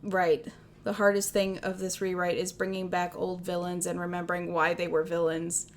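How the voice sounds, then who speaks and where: A woman's voice: lowish voice, completely flat delivery, moderate pace, slight background noise. A young woman with a Midwestern accent, chatting casually on a livestream, rambling and self-deprecating, laughing often. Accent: Midwestern American. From American Midwest. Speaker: streamer.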